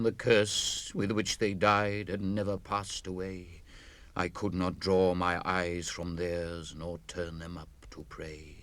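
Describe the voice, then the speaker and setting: An actor with a British accent playing a haunted old mariner, reciting verse with measured intensity. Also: male voice, fairly low pitch, dry recording. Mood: hushed dread. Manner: intense, measured, swinging from hushed to impassioned. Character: haunted old mariner, fearful and awestruck, recounting his voyage. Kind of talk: dramatic poetry recitation, 1960s spoken-word record.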